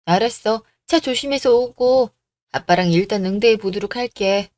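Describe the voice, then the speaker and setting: A woman's voice: medium pitch, normal speed, a little mellow, no echo, clean studio sound, natural voice. A woman voicing a caring, hesitant middle-aged mother who runs a restaurant, in a radio story drama.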